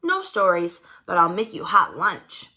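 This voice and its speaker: A woman voicing a firm yet tender mother in a children's storybook, gently instructing her child. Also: fairly low pitch, sing-song, a little slow, full character voice.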